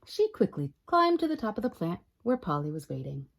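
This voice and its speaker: A woman reading a picture book aloud as a storytime librarian, expressive and talking directly to young listeners. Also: on the low side, brisk, vocal fry. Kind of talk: children's read-aloud narration.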